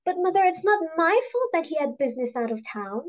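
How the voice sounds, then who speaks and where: Woman as a timid, high-voiced society daughter. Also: big pitch swings, brisk, muffled recording. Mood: apologetic. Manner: meek, placating. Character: timid, obliging young daughter of a society hostess. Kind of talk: amateur dramatic play reading.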